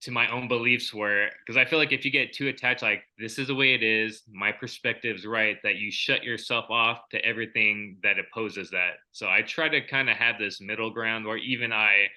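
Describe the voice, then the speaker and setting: A man's voice: fairly low pitch, fairly even intonation, normal speed, few pauses, sharp tone, rough recording. A young adult man co-hosting a podcast, talking in a reflective, rambling way with many fillers. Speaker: podcast co-host. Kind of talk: casual chat.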